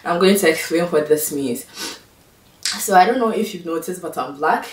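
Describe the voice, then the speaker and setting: A woman's voice: animated, normal speed. A young woman from Ghana with a Ghanaian accent, talking to camera in a casual, exasperated and sarcastic vlog. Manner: exasperated, sarcastic, mimics others in high voices. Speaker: vlogger. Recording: echoey room, mic at a distance.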